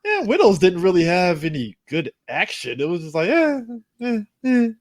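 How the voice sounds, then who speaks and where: A man's voice: high voice, wildly swooping pitch, moderate pace. A man co-hosting a casual podcast over a call, joking and laughing often. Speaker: podcast co-host. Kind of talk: casual chat.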